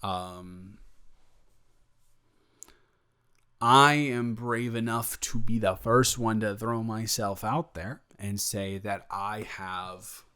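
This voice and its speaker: Man playing a slow, bashful young male roommate. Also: lowish voice, steady tone, sharp tone, smooth. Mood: nervous, earnest. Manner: hesitant, rambling, halting delivery. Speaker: audio roleplay performer. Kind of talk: improvised romantic roleplay, intimate casual chat.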